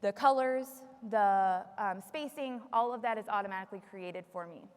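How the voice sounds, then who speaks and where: A woman leading a live software tutorial as a trainer, explaining step by step to a room. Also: medium pitch, normal speed. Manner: explanatory, repeats audience questions for the room. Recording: long echoey room reverb.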